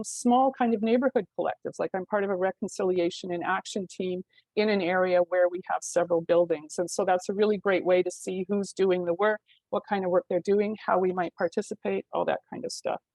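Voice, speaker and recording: A woman's voice: fairly low pitch, a little mellow, dry recording, poor audio. A woman who works with tenants in rental housing, speaking casually in a webinar with many ums and likes. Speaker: housing community worker. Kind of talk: webinar presentation. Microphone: video call.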